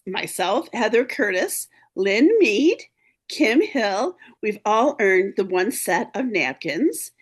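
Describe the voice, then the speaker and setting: A woman hosting a team video call, upbeat and encouraging, a little hoarse and clearing her throat often. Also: mid-range voice. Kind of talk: casual team meeting talk.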